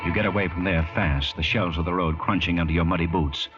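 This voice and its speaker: An actor playing a fearful inner voice, narrating a fugitive's flight in dramatic second-person radio suspense style. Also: male voice, deep voice, steady tone, quick pace, natural voice. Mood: ominous, urgent. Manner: dramatic, urgent. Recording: muffled old radio, music behind.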